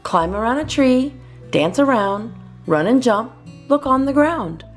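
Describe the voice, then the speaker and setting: A woman's voice: a little high, lively intonation, a little slow, soft background music, voice pushed up. A woman voicing a playful, silly young boy owl in a children's storybook, eager and sing-song.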